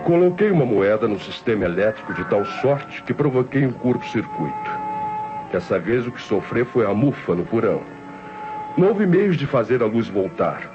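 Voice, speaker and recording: An actor playing a cold-blooded, scheming nephew who narrates his murder plot in deliberate, theatrical 1960s radio crime drama style. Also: mid-range voice, very animated, close to an everyday voice. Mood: calculating, confiding. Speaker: narrator and protagonist. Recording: muffled old radio recording with music.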